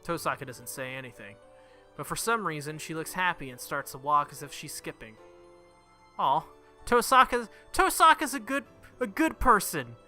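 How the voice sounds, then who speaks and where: A man's voice: a little high, sing-song, normal speed. A man hosting a game playthrough, reading the story aloud dramatically with casual reactions and the odd stumble over words. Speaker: let's play host reading a visual novel aloud. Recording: loud game music behind the voice. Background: game music.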